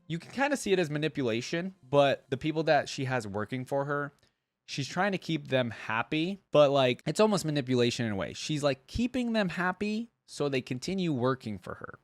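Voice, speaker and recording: A man's voice: medium pitch, sing-song, brisk, no echo. Dry-recorded man, casual reaction host. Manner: conversational, explanatory. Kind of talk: reading lore aloud with casual commentary.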